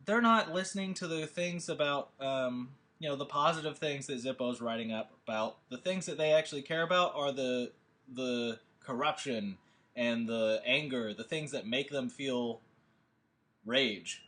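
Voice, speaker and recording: Bright-voiced man talking off the cuff. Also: medium pitch, measured pace. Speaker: host and scriptwriter introducing a table read. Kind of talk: informal rambling monologue to an audience.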